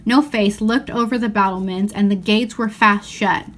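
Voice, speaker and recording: A woman reading a storybook aloud as narrator, with expressive, deliberate phrasing. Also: mid-range voice.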